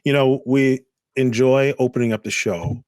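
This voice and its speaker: A man's voice: medium pitch, fairly even intonation, darkish tone, clean tone, no room sound, clean studio sound. A man co-hosting a casual video-call talk show, speaking thoughtfully and introducing a guest. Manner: thoughtful, measured, lots of "you know". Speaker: co-host. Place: indoor home room.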